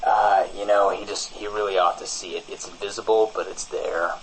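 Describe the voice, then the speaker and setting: A man playing a shady, evasive businessman in improvised comedy banter, deadpan and casually menacing, often backtracking on himself. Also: lowish voice, normal speed, a little hiss or hum, close to an everyday voice, poor audio. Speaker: podcast co-host playing a character.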